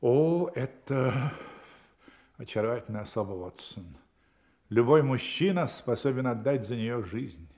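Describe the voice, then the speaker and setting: An actor playing a self-assured, wry gentleman detective in a radio play, with a brisk, amused and confident manner. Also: mid-range voice, lively intonation, close to an everyday voice.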